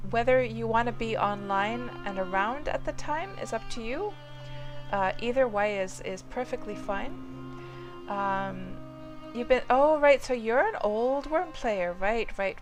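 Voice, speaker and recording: A woman, live-streaming a game and chatting loosely with viewers, rambling with lots of fillers. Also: on the high side. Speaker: game livestreamer. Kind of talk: casual live-stream chat while playing. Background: music playing under the voice.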